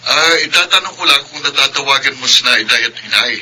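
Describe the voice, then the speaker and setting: Radio drama role: brisk Filipino engineer, elder brother, businesslike, very sharp tone, faint noise behind. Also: male voice, medium pitch, animated. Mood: matter-of-fact. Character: busy, dutiful-sounding elder brother, an engineer. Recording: noisy radio broadcast with background ambience.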